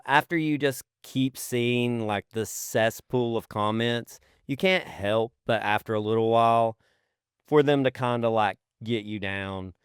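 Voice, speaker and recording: A man talks casually as the co-host of a podcast. His voice is clean and resonant at an average male pitch, with an earnest, informal manner. The sound is dry, with no room around it. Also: clear, resonant tone, dry recording. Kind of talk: casual chat.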